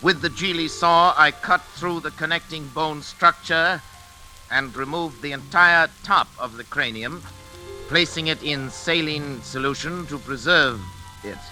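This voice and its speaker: Anguished scientist, sharp and tense. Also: male voice, on the high side, poor audio. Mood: haunted, driven. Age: middle-aged. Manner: halting, stammering, intense. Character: anguished middle-aged scientist father, obsessive and guilt-ridden. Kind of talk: 1940s radio drama acting. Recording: old radio recording with music behind.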